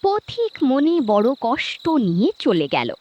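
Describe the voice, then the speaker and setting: A woman's voice: sits high, huge pitch swings, slow pace, a little mellow. A woman narrator reading a scripted children's folk tale. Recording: dull audio with clear background noise.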